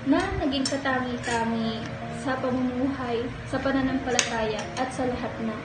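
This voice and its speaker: A woman playing a curious, polite dinner guest in a school drama, asking slowly and courteously. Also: on the high side, fairly even intonation, natural voice. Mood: inquisitive. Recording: echoey room with loud music behind.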